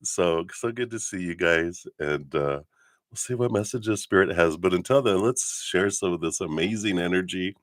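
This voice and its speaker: Real low voiced guy doing a spiritual reading livestream, giddy and gushy, super dry sound. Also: normal speed, dry recording. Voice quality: low morning voice. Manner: giddy, effusive, chuckly. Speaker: live stream spiritual reader and host. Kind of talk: casual livestream chat with meditation guidance.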